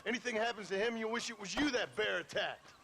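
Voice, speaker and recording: An actor playing a gruff, short-tempered but protective outlaw father in a western game, with a curt, sardonic American drawl. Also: sits high, fast, sharp tone, slight background noise, heavily acted. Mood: angry, worried. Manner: rural Old West drawl, curt and sardonic. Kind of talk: western video game voice acting.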